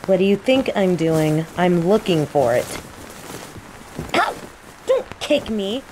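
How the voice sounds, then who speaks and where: Woman acting as cocky female plant mage, roleplay audio drama, teasing drawl, soft mellow tone, rain in the background. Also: fairly low pitch, poor audio. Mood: teasing, searching. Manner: teasing, playful, unhurried drawl. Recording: steady rain ambience noise. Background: falling rain.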